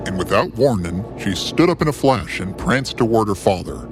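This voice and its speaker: A man narrating a scripted fantasy story in a theatrical, whimsical way with deliberate pauses. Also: on the low side, animated, music in the background.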